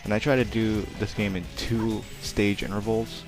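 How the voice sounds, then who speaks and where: Young male let's player, very deep and soft-spoken, rambling and self-deprecating, game music loud underneath. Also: little pitch movement, moderate pace. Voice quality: naturally quiet voice. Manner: rambling, chatty, self-deprecating, many fillers. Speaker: let's play commentator. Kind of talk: casual gameplay commentary.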